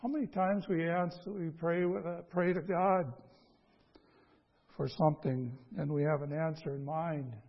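A man preaching a conversational sermon in a folksy, halting way, repeating phrases and asking rhetorical questions. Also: fairly high pitch, fairly even intonation, vocal fry, dull audio. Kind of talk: conversational sermon with scripture reading.

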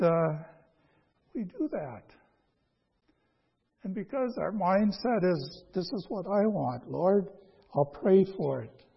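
High-voiced husky man preaching. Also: lo-fi sound. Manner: folksy, halting, repeats phrases, asks rhetorical questions. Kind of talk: conversational sermon with scripture reading.

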